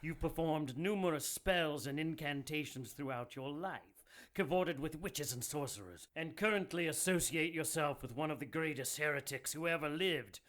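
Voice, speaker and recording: An actor playing a zealous, self-righteous male cleric in a radio drama, calm and condescending. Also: fairly high pitch, moderate pace, few pauses, lightly acted. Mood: cold.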